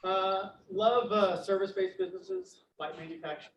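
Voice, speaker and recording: A man introducing himself as a family office investor at a business meeting, in a relaxed, loosely phrased way. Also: high-pitched, normal speed, vocal fry, distant mic, highs cut off. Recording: roomy meeting room from afar.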